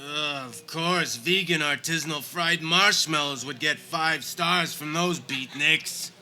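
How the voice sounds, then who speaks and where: Guy playing a grouchy complaining old-fashioned fella in a radio comedy, really piercing, unhurried. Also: on the high side, pushed and bright, heavily acted. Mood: grumpy. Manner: grouchy, grumbling. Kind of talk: comedic radio play acting.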